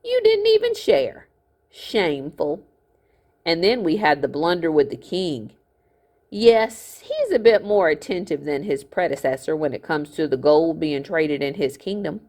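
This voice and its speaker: A woman's voice: deep voice, very animated, a little slow, a little mellow, exaggerated voice. A woman voicing a greedy, fat older miller in a storybook, a smug villain with a falsely genteel, gloating manner.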